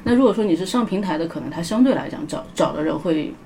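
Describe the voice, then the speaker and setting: A woman's voice: fairly low pitch, lively intonation, fast, dark tone, slight background noise. A young woman chatting casually as a podcast panelist.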